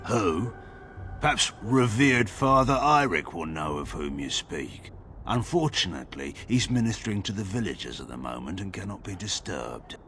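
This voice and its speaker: Fantasy game village guard: low and forceful, curt, suspicious, formal disdain. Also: male voice, animated, moderate pace, faint music behind. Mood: guarded, dismissive. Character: stern, unwelcoming male village guard, suspicious of outsiders. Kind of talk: fantasy video game acting.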